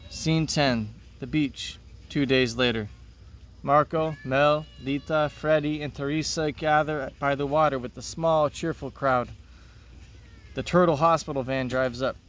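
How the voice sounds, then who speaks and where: A man's voice: fairly high pitch, steady tone, measured pace, a bit bright, recorded from a distance. A man speaking as a teacher, reading a children's play aloud to his students. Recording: noticeable background noise.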